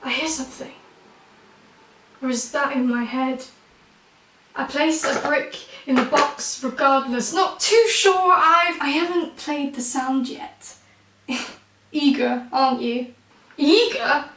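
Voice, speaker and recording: Croaky-voiced young woman, roomy. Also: sits high, slow, long pauses, distant mic, noisy background. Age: 20s. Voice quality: croaky, blocked-nose voice. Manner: wry, self-mocking, punchy delivery. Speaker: spoken word poet. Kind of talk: spoken word poetry performance.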